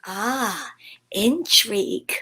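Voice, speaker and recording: A woman's voice: medium pitch, sing-song, drawn-out words, pushed and bright, exaggerated voice. A woman playing a breezy, wisecracking private detective in an amateur old-time radio drama, wry and playful.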